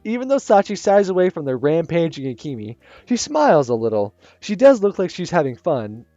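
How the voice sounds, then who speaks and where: A man reading visual novel narration aloud in a calm, matter-of-fact way. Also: fairly high pitch, brisk, dry recording, lo-fi sound. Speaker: game streamer.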